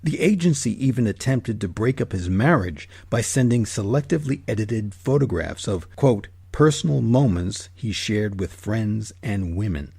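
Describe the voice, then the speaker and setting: Gravelly, low-voiced male narrator. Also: expressive, normal speed, hardly any pauses, a little mellow, no room sound. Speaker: host and narrator. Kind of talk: scripted documentary narration.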